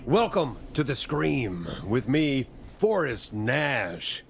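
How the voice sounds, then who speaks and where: A wry late-night radio host in a video game. He has a middle-pitched voice with lively swings and easygoing on-air patter, with slight noise behind it. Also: slow, gravelly, full character voice. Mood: smooth, wry.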